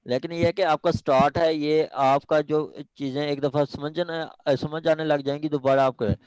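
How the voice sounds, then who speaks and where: Pakistani guy teaching a law class, bit high pitched, dry sound, keeps repeating and checking they get it. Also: steady tone, a little mellow, clear, resonant tone, no room sound, dull audio. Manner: emphatic, repetitive, often checking understanding. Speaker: law lecturer preparing students for judicial exams. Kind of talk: online class discussion and exhortation.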